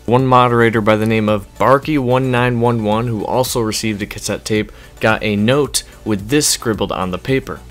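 Male gaming YouTuber, lower voice, conversational explainer with punchy emphasis, music underneath. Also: steady tone, moderate pace, few pauses. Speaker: video game news YouTuber. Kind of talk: casual gaming news commentary over gameplay. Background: background music under the voice-over.

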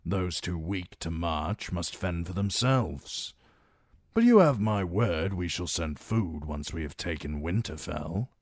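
A man voicing a stern knight who speaks firmly and calmly with authority. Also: low voice, slow, slightly rough, no echo, clean studio sound, full character voice. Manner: firm, calm, authoritative.

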